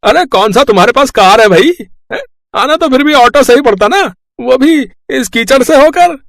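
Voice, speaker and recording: An actor playing a condescending, boastful brother-in-law who talks bluntly in a scripted moral story. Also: male voice, very high voice, expressive, moderate pace, creaky, fairly bright tone, dry recording, clean studio sound, heavily acted. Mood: sneering.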